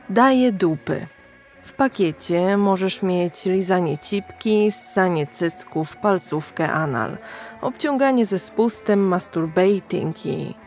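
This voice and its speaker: Gravelly, foul-mouthed underground streetwalker. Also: female voice, medium pitch, darkish tone. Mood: taunting, matter-of-fact. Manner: crude, streetwise, taunting, slangy. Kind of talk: radio drama acting.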